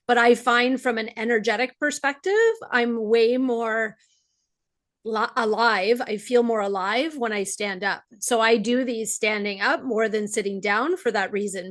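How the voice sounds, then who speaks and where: A woman's voice: fairly high pitch, a bit bright. A woman hosting an interview podcast, enthusiastic and eager, often restarting her sentences. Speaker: podcast host. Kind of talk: casual interview conversation.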